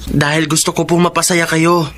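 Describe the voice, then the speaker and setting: A humble, earnest schoolboy acted by a man speaks in a lightly high voice with polite, plaintive feeling. It is radio drama with clear background noise. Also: flat delivery, normal speed, a bit bright, close to an everyday voice. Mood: respectful, wistful. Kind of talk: Filipino radio drama acting.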